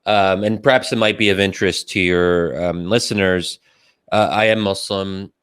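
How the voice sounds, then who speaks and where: A man from the United States giving careful, measured answers as a podcast guest, pausing often on ums and restarts. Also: deep voice, fairly even intonation, a bit bright, smooth.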